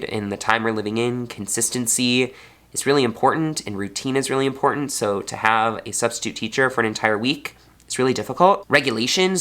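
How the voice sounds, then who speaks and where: A man's voice: medium pitch, pushed and bright. Congested man, earnest teacher vlog. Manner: earnest, heartfelt. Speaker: fourth grade teacher. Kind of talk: personal vlog.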